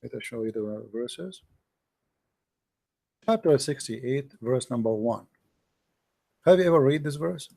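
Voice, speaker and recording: Brief: male debate host, warm low-key tone, lecturing a caller. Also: lively intonation, measured pace, gravelly, pauses often. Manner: questioning, explains patiently, reads passages aloud. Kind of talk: live call-in debate, reading texts aloud.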